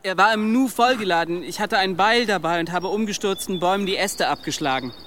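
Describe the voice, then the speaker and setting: An obedient young monk in a radio drama. His voice is fairly high, his manner eager and deferential. Faint noise runs behind him. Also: fairly quick, a bit bright. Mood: guarded. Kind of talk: German radio drama acting.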